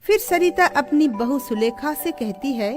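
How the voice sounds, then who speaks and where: A woman narrating a scripted moral story in an expressive storybook delivery. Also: high-pitched, unhurried. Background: background music.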